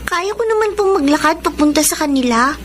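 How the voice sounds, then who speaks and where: A woman's voice: sits high, animated, a little fast. A woman voicing a polite, dutiful young schoolchild in a Tagalog radio drama, meek and respectful. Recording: loud ambient noise behind voice.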